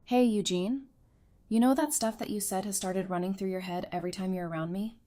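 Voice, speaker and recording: A woman acts out a warm, supportive doctor for an audio drama. Her voice is mid-range, and she speaks casually with gentle teasing and plenty of reassurance. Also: medium pitch, fairly even intonation, fairly quick, natural voice. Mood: affectionate, teasing.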